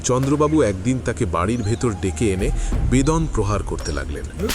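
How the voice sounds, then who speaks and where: A man's voice: on the low side, very animated, moderate pace, loud music behind the voice. A man narrating a dramatic scene in a tense, urgent storytelling manner.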